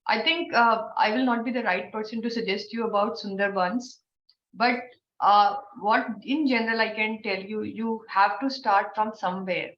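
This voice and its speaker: A woman with an Indian English accent, hoarse from a cold, giving thoughtful advice in an online training Q&A with many fillers. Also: medium pitch, steady tone, normal speed, a bit bright. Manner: thoughtful, advisory, frequent fillers. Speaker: invited expert speaker. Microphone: video call. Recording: dull, roomy online call audio with faint noise.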